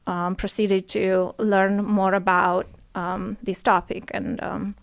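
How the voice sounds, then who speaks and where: A woman, answering interview questions in a soft, hesitant way with many pauses and ums. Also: mid-range voice, level tone, gravelly. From Romania. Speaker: podcast guest, nurse. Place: studio.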